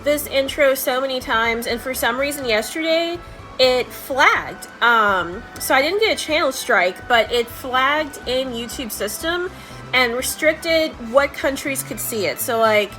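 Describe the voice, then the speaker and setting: A woman's voice: high-pitched, lively intonation, unhurried, sharp tone, faint music behind, poor audio. A woman hosting a video editing tutorial in a casual, chatty, informal way.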